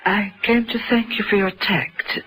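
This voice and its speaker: A woman playing a poised, seductive banker's wife in a radio drama, coolly formal and insinuating, with a husky voice. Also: medium pitch, steady tone, measured pace, a bit bright, slight background noise, natural voice.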